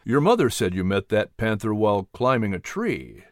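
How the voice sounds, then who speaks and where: Storybook role: gentle colonial preacher, a kindly man, mid-to-low pitch, close dry sound, acted by a narrator. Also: very animated, moderate pace, no echo. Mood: gentle, reassuring. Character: calm, kindly colonial minister, gentle male mentor.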